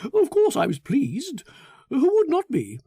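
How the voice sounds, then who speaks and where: Man putting on a high, gushing, self-satisfied fellow. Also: wildly swooping pitch, measured pace, creaky, dark tone, no room sound, clean studio sound, heavily acted. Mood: gushing, pleased. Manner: effusive, prattling, patronizing. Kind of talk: audiobook character acting.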